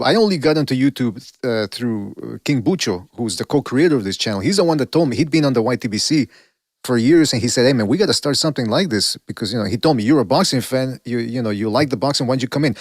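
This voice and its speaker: A man's voice: medium pitch, no room sound, studio quality. A man with a Mexican accent hosting a podcast, musing at length and asking long, rambling questions in a casual chat. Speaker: podcast host.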